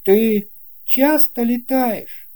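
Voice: medium pitch, drawn-out words, dark tone, clear, resonant tone, voice pushed up. A narrator voicing a curious, polite little boy in a storybook, earnest and questioning.

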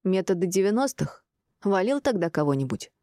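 A woman's voice: mid-range voice, sing-song, a little fast, warm tone, no room sound, studio quality, voice pushed up, lightly acted. Woman voicing a stubborn, bitter male ex-husband. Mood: bitter scorn. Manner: insistent, indignant.

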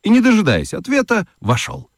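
A man narrating a children's radio play as an expressive, scripted storyteller. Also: high-pitched, a little fast, vocal fry, no room sound, studio quality.

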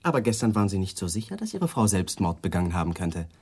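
Probing police detective with lively pitch. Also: male voice, fast, slightly rough, full character voice. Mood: pointed, suspicious. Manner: insistent, pointed questioning. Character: persistent, probing male police detective, coolly polite and suspicious. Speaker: actor in a radio crime drama. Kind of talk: 1980s German radio play acting, interrogation dialogue.

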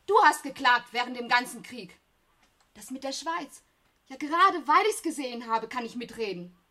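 A defiant younger sister in a radio drama. Her voice is fairly high and forceful, with a reproachful, emphatic delivery. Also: animated, moderate pace. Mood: indignant. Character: aggrieved, proud young working woman, defiant sister. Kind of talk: German radio play acting.

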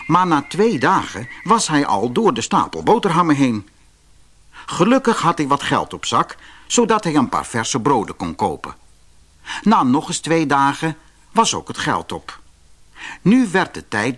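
Animated male fairy-tale narrator, slightly husky. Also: medium pitch. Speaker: audiobook narrator.

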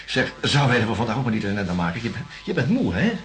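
An actor playing a patient, cautious, kindly doctor, speaking in a measured, slightly hesitant way in a radio drama. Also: male voice, fairly low pitch, big pitch swings. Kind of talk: naturalistic radio drama dialogue.

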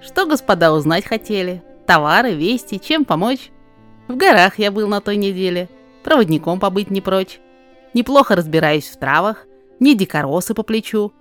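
A woman playing a humble male mountain guide and herb gatherer in a verse drama, telling things in a measured storyteller's way. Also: mid-range voice, lively intonation, soft background music, close to an everyday voice. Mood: obliging. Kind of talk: rhymed verse drama reading.

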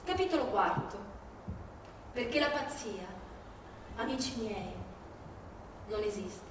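Echoing woman's deliberate stage narration. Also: fairly high pitch, animated, noisy background. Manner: deliberate, declamatory. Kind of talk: theatrical dramatic reading. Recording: echoey hall, distant, music behind.

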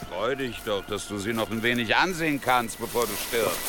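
Guy acting nasty mocking man in a radio play, voice all over the place, loud music behind. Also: lowish voice, expressive, moderate pace, close to an everyday voice. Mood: sneering. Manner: sneering, taunting. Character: cruel mocking man who begs when doomed. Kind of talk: German audio drama acting.

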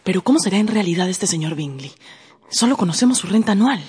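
A woman acting a gentle, romantic young daughter in a radio drama, soft and wistful. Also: mid-range voice, expressive, fast talker, close to an everyday voice. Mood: wistful, curious.

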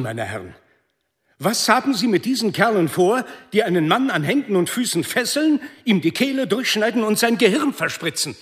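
An actor playing a forceful, indignant male prosecutor, speaking with emphatic, pressing courtroom rhetoric in a radio drama. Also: high voice.

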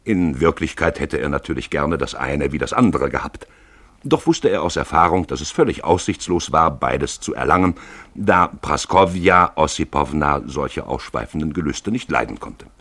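A man giving a deliberate, theatrical literary narration for a radio play. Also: extremely low pitch, expressive, a bit bright, no room sound.

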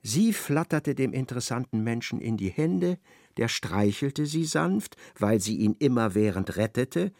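A man playing a wry literary narrator in a radio drama, expressive and ironic as he slips into a character's thoughts. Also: mid-range voice, measured pace, vocal fry, dry recording, clean studio sound.

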